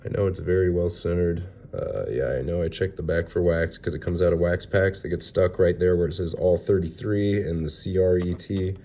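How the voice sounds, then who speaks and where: A young man with a stuffy, nasal voice from a recent cold, chatting loosely through a card unboxing with many pauses and fillers. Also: low voice, fairly even intonation, mellow, a little hiss or hum. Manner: rambling, hesitant, lots of fillers. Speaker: card collector showing graded cards. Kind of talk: casual unboxing vlog.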